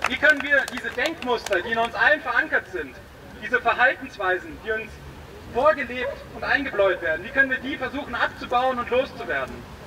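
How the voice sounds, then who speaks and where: A man's voice: very high voice, expressive, a little fast. A man giving an informal speech through a megaphone at a street protest, with many hesitations. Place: street blockade outdoors. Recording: distant outdoor recording with street noise.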